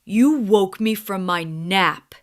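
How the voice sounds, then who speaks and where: A woman's voice: mid-range voice, slow, natural voice. A woman voicing a proud, grumpy male lion who rules the jungle, grumbling and exclaiming in a children's storybook.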